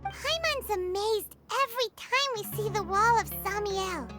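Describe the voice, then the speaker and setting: A woman voicing a tiny, childlike, excitable fairy companion in a video game, squeaky and naive. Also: moderate pace, over music. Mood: wide-eyed, cheerful. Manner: wide-eyed, talks of self in third person. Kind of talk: cartoonish video game voice acting.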